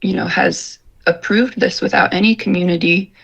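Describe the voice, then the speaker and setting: A woman's voice: medium pitch, steady tone, moderate pace, gravelly, fairly bright tone, husky, rough recording. A woman giving critical, disillusioned public comment on a meeting call.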